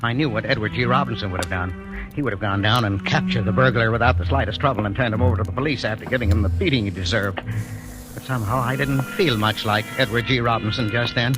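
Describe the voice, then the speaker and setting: An actor playing a timid, henpecked husband in a 1940s radio drama, with a hesitant, flustered manner. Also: male voice, medium pitch, fast talker, close to an everyday voice, poor audio. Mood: anxious. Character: timid, henpecked husband, a nervous dreamer telling his tale. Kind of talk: 1940s radio acting, first-person narration and dialogue. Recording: old radio broadcast with music.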